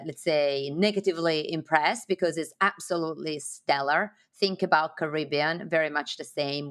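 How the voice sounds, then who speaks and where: A woman's voice: sits low, fairly bright tone. A woman from Sardinia with an Italian accent, telling her story as an enthusiastic podcast guest. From Sardinia, Italy. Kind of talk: interview conversation.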